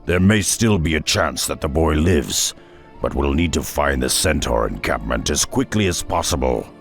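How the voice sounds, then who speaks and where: Very deep, gravelly half-orc warrior. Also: male voice, moderate pace, soft background music. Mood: grave, urgent. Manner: terse, calm, resolute. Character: gruff, steady half-orc warrior hero. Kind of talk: video game cutscene acting.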